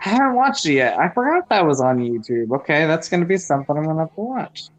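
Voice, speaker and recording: A man's voice: on the high side, sing-song, quick pace, no room sound, soft background music. A man in his thirties co-hosting a live movie commentary, talking in a friendly way and laughing often. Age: 30s. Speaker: co-host of a movie commentary channel. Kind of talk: casual live chat with viewers.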